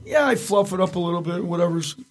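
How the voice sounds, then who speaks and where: A middle-aged man with a raspy, husky voice that sits high for a man. He rambles through his stories in loose, casual interview chat, circling back with restarts. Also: fast. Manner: rambling storyteller, many restarts, frequent chuckles. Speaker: guest, tribute singer.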